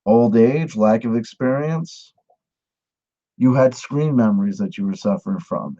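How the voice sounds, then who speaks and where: A man giving a satirical mock lesson, with a mock-earnest pitchman delivery. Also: dark tone, dull audio. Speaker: mock instructor. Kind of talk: satirical monologue.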